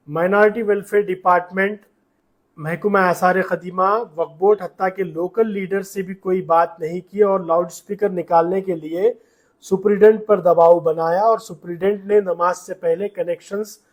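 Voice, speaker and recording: A man with a Hyderabadi Urdu accent narrating a news report with commentary. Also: sits high.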